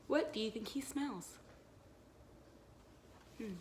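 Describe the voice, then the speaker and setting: A woman teacher reading a storybook aloud to young children in an expressive, encouraging way, pausing to ask them questions. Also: fairly high pitch, slight background noise.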